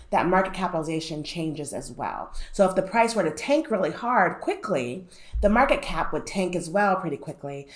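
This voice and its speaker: A young woman with a lowish voice speaks straight to her audience in a relaxed, conversational way. Her voice is slightly nasal from a cold she is still getting over, and she keeps checking in with "right?" and "um" as she explains. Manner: conversational, frequent "right?" and "um" asides. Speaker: finance YouTuber. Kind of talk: casual explainer talk to camera.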